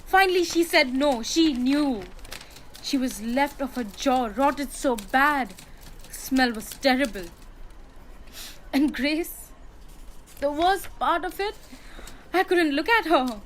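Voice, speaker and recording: A young woman acting an anguished, stubborn young factory worker in a high school radio drama. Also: slow, pauses often, a little hiss or hum, low-quality recording. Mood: grieving.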